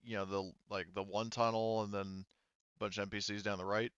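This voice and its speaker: Male game master, smooth low voice, casual and halting delivery, close dry lo-fi sound. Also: flat delivery, a bit bright, dry recording. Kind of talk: running a tabletop roleplaying game, casual chat.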